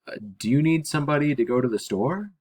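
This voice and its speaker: A man's voice: fairly high pitch, fast talker, a little mellow, breathy edge, voice pushed up. A man voicing a wary, polite teenage boy in a storybook reading, hesitant and deferential. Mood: nervous.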